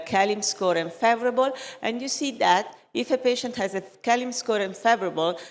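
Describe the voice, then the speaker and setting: Slow, hesitant woman at a lecture. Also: on the low side. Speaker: conference speaker. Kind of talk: medical conference talk in English. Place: large conference hall. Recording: long hall reverb.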